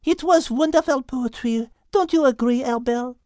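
A woman voicing a dainty, excitable little female animal hostess in a children's storybook, theatrical and gracious. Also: high voice, normal speed, no room sound, clean studio sound, voice pushed up, lightly acted. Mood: delighted.

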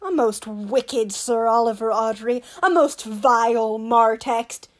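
A woman's voice: a little high, slow. A woman playing a wooing court jester in a Shakespearean radio play. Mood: coaxing. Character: Touchstone, court jester clown courting a country girl.

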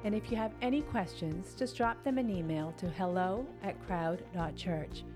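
A woman announcer reading a scripted promo for the show in a smooth, even delivery. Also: on the low side, normal speed. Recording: voice over a music bed.

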